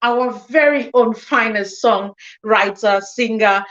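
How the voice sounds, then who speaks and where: Woman hosting a live interview, teasing and laughy, kinda high voice, muffled lo-fi sound. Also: takes it slowly, fairly bright tone. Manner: playful, teasing, laughs often. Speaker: interview show host. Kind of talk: lively hosted interview.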